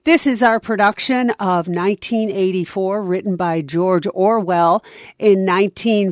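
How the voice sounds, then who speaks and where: A woman's voice: medium pitch, darkish tone, dry recording, studio quality. A woman giving a scripted introduction as announcer for a theatre company.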